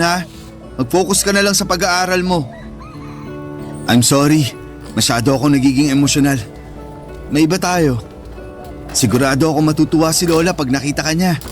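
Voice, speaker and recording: An actor playing a protective, caring older brother in an emotional radio drama, speaking earnestly and emphatically. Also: male voice, a little high, close to an everyday voice. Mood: reassuring. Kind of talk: radio drama acting in Tagalog.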